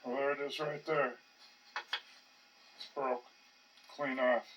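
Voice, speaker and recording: An older man giving casual, rambling commentary as he tinkers, halting and muttering to himself. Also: medium pitch, flat delivery, fairly bright tone. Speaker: hobbyist narrating his own projects. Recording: distant mic with faint background noise.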